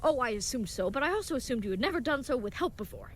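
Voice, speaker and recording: Audio drama hero played by a woman: young, naive, gallant leatherworker, quick formal delivery, faint noise behind. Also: high-pitched, sing-song. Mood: earnest, reassuring. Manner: formal, contraction-free, chivalrous, grandly earnest speech. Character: earnest, brave, naive young leatherworker playing knight, cheerfully oblivious. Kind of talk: scripted audio drama acting.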